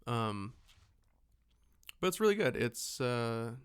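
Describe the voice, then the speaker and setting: A man's voice: medium pitch, very animated, slow pace, clean tone. A man hosting a podcast, chatting informally and casually about films and shows. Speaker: podcast host.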